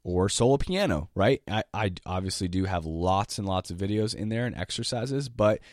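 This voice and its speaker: A sick man hosts his music-teaching podcast in a very deep, lowered voice. He rambles eagerly, restarts sentences and coughs now and then. The sound is dry and close, with no room around it. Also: expressive, normal speed, no echo. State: sick, voice lower than usual. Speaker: podcast host and jazz piano teacher. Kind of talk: casual solo podcast monologue.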